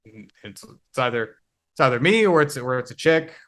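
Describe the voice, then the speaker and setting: A man's voice: medium pitch, animated, a bit bright. Reflective man in his twenties. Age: 20s. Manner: reflective, halting, searching for words. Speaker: podcast guest. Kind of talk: casual conversation.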